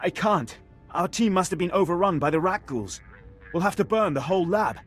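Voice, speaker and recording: An actor playing a brisk, alert imperial communications officer in a video game, with a military manner. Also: male voice, high-pitched, expressive, faint music behind. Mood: tense.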